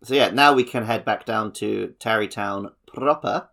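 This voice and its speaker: Creaky, bright-voiced man in casual chat. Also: fairly low pitch, moderate pace. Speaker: podcast co-host. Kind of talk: casual chat about a video game.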